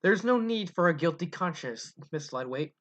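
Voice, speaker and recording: A reader voicing a calm, gracious pony princess who speaks softly and with composure. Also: mid-range voice, moderate pace, close to an everyday voice. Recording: dull lo-fi recording.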